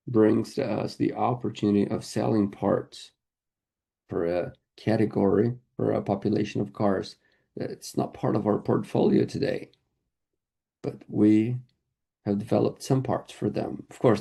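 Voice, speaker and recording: Guy interpreting an earnings call, very creaky and kinda husky, stop-start phrases. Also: on the low side, dark tone. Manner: halting, phrase-by-phrase delivery. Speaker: conference interpreter.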